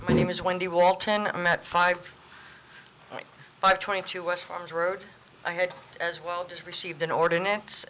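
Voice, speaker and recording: Flat-voiced resident listing concerns. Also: male voice, high-pitched, a little hiss or hum, rough recording. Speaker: resident speaking at public comment.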